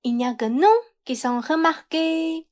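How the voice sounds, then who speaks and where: A woman's voice: high voice, a little slow. A woman playing a whiny young socialite in a student radio play.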